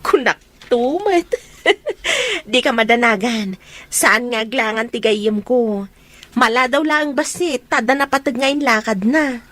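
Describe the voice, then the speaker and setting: A teasing young Filipino woman voiced by an actress, the best friend in a radio drama. Her voice sits very high. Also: unhurried, fairly bright tone. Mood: breezy.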